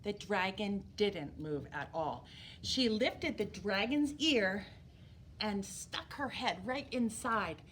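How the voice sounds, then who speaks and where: A woman reading a picture book aloud to young children as a storyteller, animated and emphatic, drawing words out for drama. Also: mid-range voice, slow, a little hiss or hum. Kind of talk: expressive children's read-aloud.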